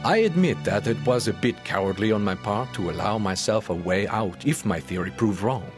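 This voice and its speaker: A man's voice: fairly low pitch, lively intonation, moderate pace, music in the background, lightly acted. A man playing an eager French professor who narrates his sea adventure in a formal, theatrical audiobook drama style. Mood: measured narration. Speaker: narrator and lead actor.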